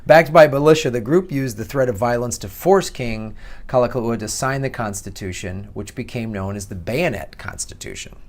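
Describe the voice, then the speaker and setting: A man's voice: medium pitch, animated, normal speed. A man with a Midwestern accent giving a casual, sarcastic commentary on history as he reads from an article. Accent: Midwestern American. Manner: chatty, sarcastic asides with laughs. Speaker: comedian and political commentator. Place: outdoors in Hawaii.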